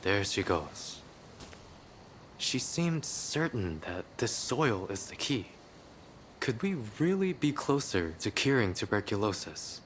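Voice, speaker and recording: An earnest, ambitious young researcher in a video game, determined and deliberate. Slow delivery with lively intonation and a faint noise behind. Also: male voice, on the low side, heavily acted. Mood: pondering.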